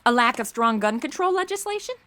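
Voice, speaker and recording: Bright, animated, ditzy modern gal. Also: female voice, on the high side, fast. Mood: sarcastic, breezy. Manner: sarcastic, exclaiming, modern slangy delivery. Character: brash, self-absorbed, ditzy modern young woman. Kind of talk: comedic audio drama acting.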